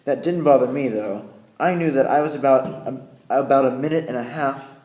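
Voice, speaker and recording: A man's voice: medium pitch, normal speed, darkish tone, lightly acted. A young man playing a wry, self-assured Yankee who recounts his own adventure in an amateur radio play. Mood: wry, confident. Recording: echoey room with background noise.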